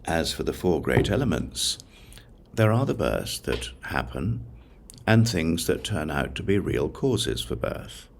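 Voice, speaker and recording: An extremely low-voiced man narrates a sacred text, his voice thick with gravelly fry. He reads slowly and solemnly, setting phrases apart with deliberate pauses in a measured recitation. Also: big pitch swings, a little hiss or hum. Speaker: audiobook narrator. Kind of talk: scripted narration of a religious text.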